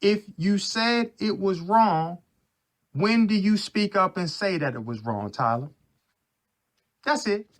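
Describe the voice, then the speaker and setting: A man's voice: sits high, animated, takes it slowly, pauses often. A man arguing on a recorded phone call, pressing his questions insistently and repeating himself. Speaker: caller in a heated phone dispute. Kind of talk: argumentative phone conversation.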